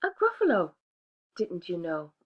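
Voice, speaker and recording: A woman's voice: wildly swooping pitch, measured pace, full character voice. A woman voicing a small, clever brown mouse in a children's storybook, sweet and polite, on a dull lo-fi recording. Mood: polite, cheeky. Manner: sweet, polite, high and bright.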